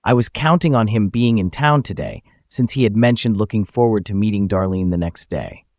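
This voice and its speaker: A man's voice: lowish voice, fairly quick, darkish tone, dry recording. A man reading a scripted first-person story as narrator, acting out the dialogue as he goes. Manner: acts out the dialogue.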